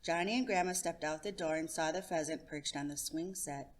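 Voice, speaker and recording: A woman hosting a story time, reading a children's picture book aloud in an expressive narration. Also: low voice, a little fast. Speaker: storytime host and reader.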